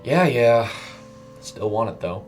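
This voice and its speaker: A man's voice: mid-range voice, expressive, slow, soft background music. A man voicing a brash, playful woman worker in her early thirties, slangy and teasing, in an audio story. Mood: grudging.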